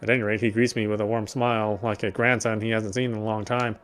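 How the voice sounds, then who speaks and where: A man's voice: fairly low pitch, flat delivery, fast talker, fairly bright tone, slight background noise. A man narrating a horror visual novel in the first person, reading steadily and often restarting his words.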